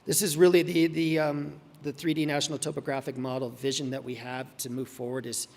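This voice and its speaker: A man giving a conference talk, hoarse and losing his voice, halting with many ums and restarts. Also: medium pitch, level tone, a little mellow, big-room sound, slight background noise. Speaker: conference presenter. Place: conference hall.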